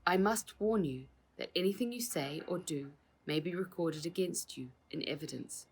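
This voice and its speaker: A woman voicing a storybook police officer with an official, measured and unbending manner. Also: lowish voice, husky, low-quality recording. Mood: stern, official. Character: formal, unbending police officers making an arrest.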